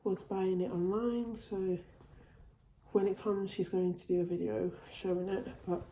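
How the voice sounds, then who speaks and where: Woman, home vlogger, very dark tone, recovering from a cold, roomy echo. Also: medium pitch, steady tone, measured pace, slight background noise. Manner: chatty, repeats and restarts phrases. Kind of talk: casual haul video. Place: at home, indoors.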